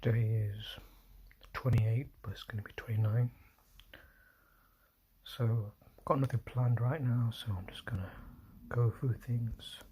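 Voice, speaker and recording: Solo male streamer, hushed half-whispered delivery, mellow tone, faint hiss behind. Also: fairly even intonation, a little slow, pauses often. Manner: talking low, often whispering, with apologies and restarts. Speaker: livestream host. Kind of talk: solo livestream commentary. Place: indoors at home, quiet room. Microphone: phone.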